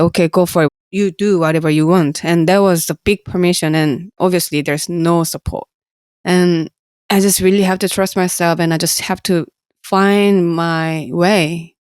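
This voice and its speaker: A woman in her thirties with a Korean accent, a musician guest talking about her career in halting, earnest English with frequent light laughs. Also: on the low side, darkish tone, no echo, clean studio sound. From South Korea. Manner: halting, earnest, laughs often, simple phrasing. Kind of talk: casual interview talk.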